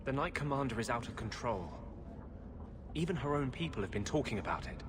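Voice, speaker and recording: Game role: male actor as embittered mage healer in hiding, mid-pitched, urgent and aggrieved, over music. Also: expressive, fairly quick, background noise, natural voice. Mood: weary, agitated. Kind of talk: dramatic video game dialogue.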